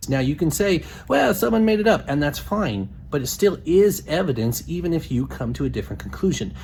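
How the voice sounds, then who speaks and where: A man's voice: a little high, lively intonation, fairly quick, darkish tone. A man speaking as a religious apologist in an argumentative, insistent and assertive way. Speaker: religious apologist, video maker. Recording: clear background noise.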